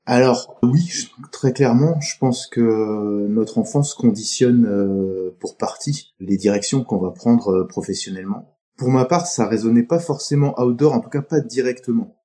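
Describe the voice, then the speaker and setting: A middle-aged man from France's Atlantic coast, a podcast guest talking in a halting, reflective way that often pauses mid-sentence. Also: mid-range voice, dark tone. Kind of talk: casual interview talk.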